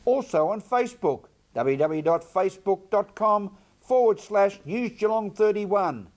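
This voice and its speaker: High-pitched Australian male newsreader. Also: expressive, a little slow, a little mellow. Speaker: news presenter. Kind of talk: scripted news reading.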